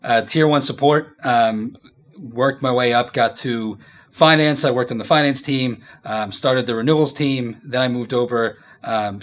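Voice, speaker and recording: A man in his thirties giving casual, informal interview answers as a company executive, often halting as he thinks aloud. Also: fairly low pitch, fairly bright tone. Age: 30s.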